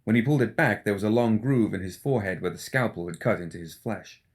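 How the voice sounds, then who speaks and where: Guy reading a story audiobook style, lowish voice. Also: fast. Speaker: audiobook reader. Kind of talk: scripted fiction narration.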